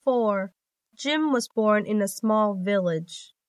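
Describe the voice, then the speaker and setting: A woman reading scripted English lesson sentences for a listening test, in a measured, carefully enunciated manner. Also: medium pitch, expressive, no room sound, clean studio sound. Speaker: exam listening test reader.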